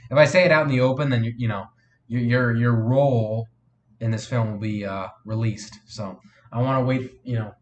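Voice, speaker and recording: A man's voice: mid-range voice, steady tone, normal speed, muffled recording. A sniffly man hosting a casual live stream, rambling with lots of fillers and restarts as he answers viewer chat. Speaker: live streamer and fan film maker.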